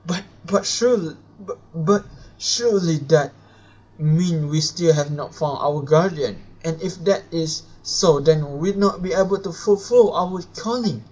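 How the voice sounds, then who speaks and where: A man's voice: fairly high pitch, unhurried, a little mellow. A man with non-native English voicing an anxious, loyal royal attendant creature from a fantasy game. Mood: worried. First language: not English. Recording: dull lo-fi mic with music behind.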